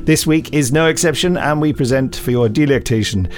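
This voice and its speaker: A man's voice: a little high, brisk, soft background music. A man hosting a podcast in casual, jokey studio chat. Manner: jokey, playful, teasing. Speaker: podcast host.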